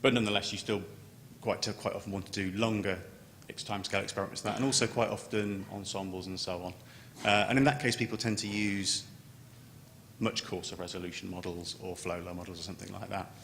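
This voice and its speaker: A man giving an unscripted technical conference presentation as a scientist, halting and often repeating words as he starts a phrase. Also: steady tone, vocal fry, fairly bright tone. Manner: halting, with stammered word repetitions. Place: large conference room. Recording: echoey hall, noticeable background noise, low rumble.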